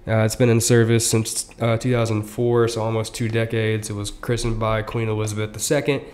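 A young man co-hosting a podcast, explaining history in casual chat with frequent fillers and quick asides. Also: lowish voice, flat delivery, a little mellow. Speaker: podcast co-host.

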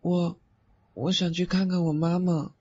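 A man's voice: high voice, level tone, a little slow, mellow, breathy edge. A man acting in an audio drama as a sweet, naive teenage boy ghost with a childlike, pouty manner. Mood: sad, timid. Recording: slightly dull audio, faint music behind.

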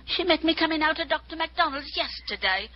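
A woman's voice: high-pitched, animated, brisk. A woman playing a timid, poor, defensive patient in a radio drama. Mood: explaining. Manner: plaintive, defensive. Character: timid, plaintive, poor working-class female patient. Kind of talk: old-time radio drama acting. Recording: dull old radio recording.

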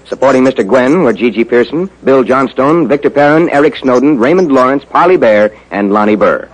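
1950s radio announcer, American male, mid-pitched, very animated, formal and polished sales delivery. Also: brisk, poor audio. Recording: vintage 1950s radio broadcast.

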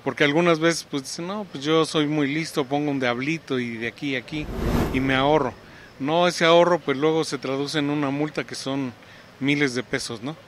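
Mexican man, halting street interview. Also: a little high, steady tone, a little hiss or hum. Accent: Mexican Spanish. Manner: halting, many fillers and restarts. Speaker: municipal energy-saving director. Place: outdoors on a town street. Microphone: handheld reporter mic close up.

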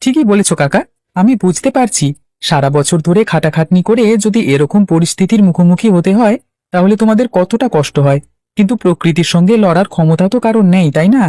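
Actor as a mellow young villager. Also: mid-range voice, lively intonation, brisk, dry recording, studio quality, lightly acted. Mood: sympathetic. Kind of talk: Bengali cartoon dialogue.

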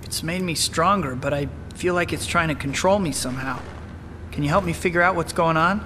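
An actor playing a young, earnest, wisecracking superhero who is on edge, in a video game cutscene. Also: male voice, on the high side, a little fast, noisy background, lightly acted. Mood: troubled.

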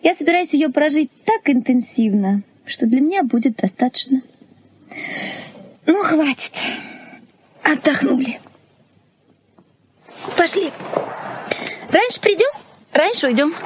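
An actress playing a spirited, teasing young woman in love in a Soviet radio play, with a husky voice and light, expressive delivery. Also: sits high, a little slow, long pauses, slight background noise. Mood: brisk, determined.

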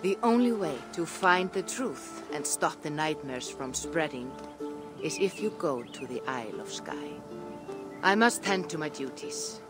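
A grave female seer in a game cutscene. She speaks calmly and solemnly, in measured phrases. Music is plainly audible underneath. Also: low voice. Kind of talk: dramatic video game cutscene acting.

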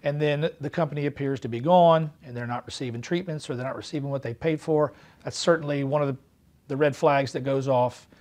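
A man's voice: mid-range voice, brisk, darkish tone. A man in his forties, a state consumer protection official, giving careful, official-sounding answers in a sit-down interview in an office. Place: office with bookshelves.

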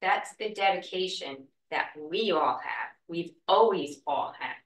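A woman's voice: on the low side, expressive, measured pace, recorded from a distance. Woman pleading from a podium, echoey room. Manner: earnest, emotional plea. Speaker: school cafeteria worker speaking at public comment. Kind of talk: public comment at a school board meeting. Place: meeting room, at a wooden podium. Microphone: podium mic, heard from a far camera. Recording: echoey room, voice heard from afar.

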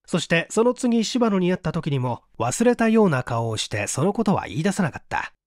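A man's voice: sits high, big pitch swings, fairly quick, darkish tone, no room sound, clean studio sound. A man narrating a literary story as a scripted, dramatic audiobook reading.

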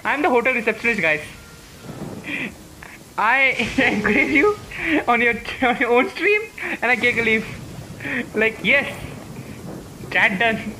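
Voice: medium pitch, lively intonation, measured pace, background noise. A streamer chatting casually, reading live chat aloud and repeating short phrases.

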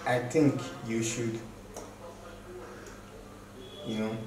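A young man, a guest explaining his view in a measured, thoughtful way. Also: mid-range voice, fairly even intonation, crowd noise. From Nigeria. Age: young adult. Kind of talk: casual group discussion. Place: living room, seated on a couch. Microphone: far camera. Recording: roomy echo from a distant mic.

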